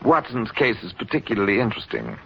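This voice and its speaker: A controlling, coolly sinister doctor in a 1940s radio play. His speech is polite and measured but swoops in pitch, with a husky, creaky edge. The old recording is dull. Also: wildly swooping pitch, full character voice. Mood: smooth, apologetic. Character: suave, coolly menacing male doctor, controlling schemer.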